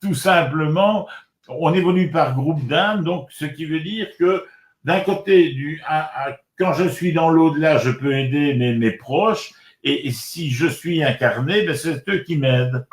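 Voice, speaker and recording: A man's voice: fairly high pitch, a little slow. Older male author guest, chatty and circling, roomy recording. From Congo. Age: 60s. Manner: rambling, repeats words and restarts often. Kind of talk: casual spiritual conversation. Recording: roomy echo.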